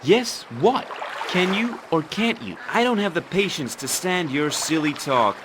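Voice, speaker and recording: A young actor playing a vain, boastful fairy-tale hero who talks in a brash, impatient way in a game. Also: male voice, fairly high pitch, animated, a little slow, noisy background. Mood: arrogant. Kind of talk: game voice acting.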